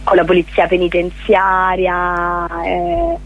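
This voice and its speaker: A woman's voice: fairly low pitch, sing-song, takes it slowly, vocal fry, slight background noise. A woman being interviewed by phone for radio, explaining earnestly and emphatically, repeating words for stress. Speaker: interview guest, prison theatre project leader. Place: on a phone call to a radio show. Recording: narrow tinny phone line.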